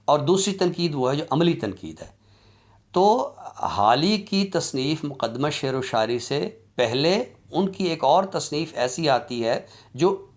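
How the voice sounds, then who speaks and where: Man lecturing, halting and deliberate. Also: medium pitch. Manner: halting, deliberate, explanatory delivery. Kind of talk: academic lecture on literary criticism.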